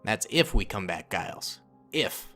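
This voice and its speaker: A man's voice: lowish voice, sing-song, a little slow, sharp tone, soft background music. A man narrating a fan-made war story and voicing several soldiers in dialogue. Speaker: narrator and voice of most characters. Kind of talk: fan-made cutscene narration with character voices.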